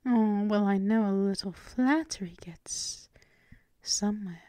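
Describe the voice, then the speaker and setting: A woman playing a seductive, dominant female vampire mistress in an ASMR roleplay, mostly in a breathy, purring whisper, teasing and possessive. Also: mid-range voice, mellow, no echo, lightly acted. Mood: flattering, seductive. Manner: slow, purring, lingering with hums.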